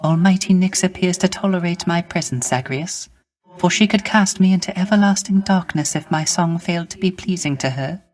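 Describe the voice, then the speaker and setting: A man playing a gentle, melancholy male musician of the underworld in a video game, speaking formally and courteously with wistful, poetic, old-fashioned phrasing. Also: high-pitched, moderate pace, lightly acted. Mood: adoring, grateful. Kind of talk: scripted video game character acting.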